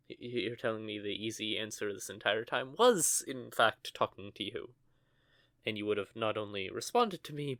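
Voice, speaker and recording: A man's voice: mid-range voice, fairly bright tone, no echo. A young man voicing a nervous, stuttering young male witch in an audio roleplay, recorded close and dry. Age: young adult. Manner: stammering, flustered.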